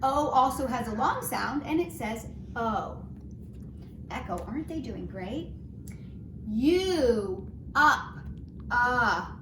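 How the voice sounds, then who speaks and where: Brief: encouraging woman teacher, a bit high, leading a kids' lesson; distant mic in an echoey room with background noise. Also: lively intonation, slow, pauses often. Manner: gentle, encouraging, singsong. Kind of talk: children's phonics lesson. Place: home. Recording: roomy, distant, noisy home recording.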